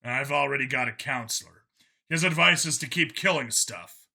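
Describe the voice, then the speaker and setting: A man acts out a jaded male cop from a science fiction audiobook. The voice is bright and forceful, at a middling pitch. He speaks bluntly but haltingly, guarded about his feelings and questioning himself. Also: gravelly, full character voice. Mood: wry.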